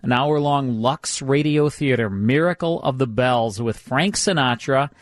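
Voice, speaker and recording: A man's voice: mid-range voice, a little slow, no room sound, studio quality. A man hosting a radio show with casual banter and program previews, joking at his own expense in rambling asides. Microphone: close studio microphone.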